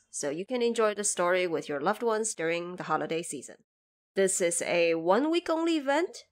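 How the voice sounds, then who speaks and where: Low-pitched woman making a scripted announcement. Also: a bit bright. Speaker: podcast host and audio drama producer. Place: bedroom used as a home studio.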